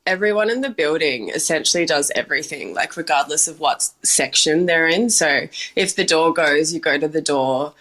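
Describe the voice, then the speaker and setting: A woman's voice: low voice, moderate pace, few pauses, fairly bright tone. A young woman, a bar manager and sommelier, talking casually in an interview with lots of "like" and "um". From Australia. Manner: chatty, many "like" and "um" fillers, laughs often.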